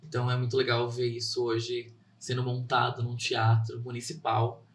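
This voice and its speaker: A young man talks about his theater work as a guest, earnest and heartfelt. His mid-pitched voice sounds roomy and a little distant from the mic. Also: monotone, normal speed, slight background noise. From São Caetano, Brazil. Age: young adult. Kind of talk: interview answers.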